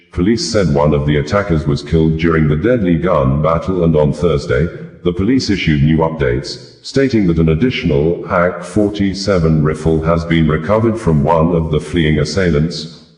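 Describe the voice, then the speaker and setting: A man's voice: low voice, a little mellow. A man reading scripted news in a formal manner, in long sentences. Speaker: news reader. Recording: echoey room sound.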